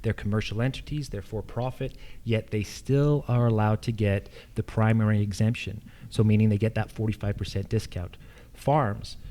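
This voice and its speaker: Man, American accent, warm relaxed tone, lowish pitch, explanatory, candidate forum answers. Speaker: incumbent candidate for local assessor.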